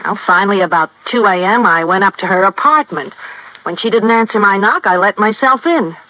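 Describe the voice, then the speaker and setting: An American actress playing a young superintendent's wife in a 1950s radio drama, slangy, offhand and contemptuous. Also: female voice, mid-range voice, animated, a little fast, dry recording, lightly acted. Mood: defensive account. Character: young, brassy, scornful wife of a building superintendent. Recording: muffled vintage radio recording.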